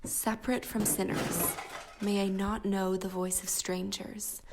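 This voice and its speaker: A woman's voice: medium pitch, level tone, measured pace, very noisy, lightly acted. A woman playing a sickly, pious colonial wife in an audio drama, earnest and frightened, with old-fashioned prayerful phrasing.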